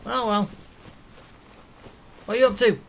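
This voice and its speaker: A woman's voice: on the high side, steady tone, unhurried, muffled recording, slight background noise. A woman giving casual playthrough commentary as a game streamer, musing to herself as she goes. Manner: musing to self. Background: game music.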